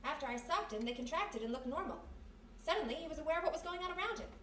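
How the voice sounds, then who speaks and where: In a roomy live space with faint noise, an actress plays a spirited girl sidekick from a radio mystery. Her voice is high and quick, with wisecracking nerve. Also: expressive, fairly bright tone, far from the mic. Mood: earnest, insistent. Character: plucky, quick-witted young female detective's sidekick. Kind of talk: live old-time radio mystery acting.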